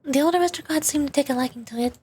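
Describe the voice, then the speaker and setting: A woman's voice: high voice, rapid-fire, a little mellow, natural voice. A woman voicing a proud, aloof young aristocratic knight from a game, with haughty formal wording. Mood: explaining.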